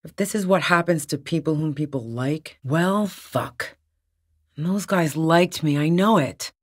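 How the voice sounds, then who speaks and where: A woman's voice: low voice, a little slow. An actress playing a tough, wary, sardonic woman on the run in a video game, speaking bluntly. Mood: somber, regretful. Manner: blunt, sardonic, foul-mouthed. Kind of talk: video game character dialogue.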